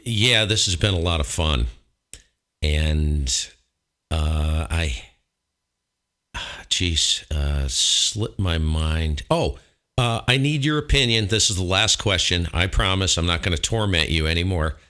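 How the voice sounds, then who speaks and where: A man's voice: sits low, lively intonation, takes it slowly, bright, forceful tone. A man talking casually as an amateur radio operator, thinking aloud as he goes. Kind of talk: casual ham radio chat.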